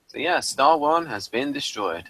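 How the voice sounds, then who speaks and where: A man co-hosting a podcast with casual episode commentary, halting and repeating words as he thinks. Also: mid-range voice, animated, bright, forceful tone, dry recording, low-quality recording. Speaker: podcast co-host.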